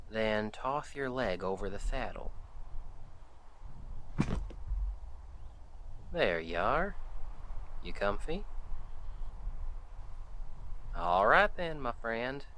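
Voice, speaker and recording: A man playing a friendly wandering Western sheriff in an audio roleplay, chatting to the listener in a folksy, wry, unhurried way. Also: medium pitch, sing-song, pushed and bright, a little hiss or hum, full character voice. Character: friendly wandering Western sheriff, easygoing drifter, dryly humorous.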